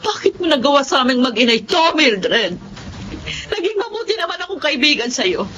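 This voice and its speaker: A woman's voice: high-pitched, sing-song, normal speed, a bit bright, slight background noise. An actress playing a protective, emotional middle-aged mother in a Filipino radio drama, fervent and melodramatic. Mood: furious. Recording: muffled lo-fi radio drama audio.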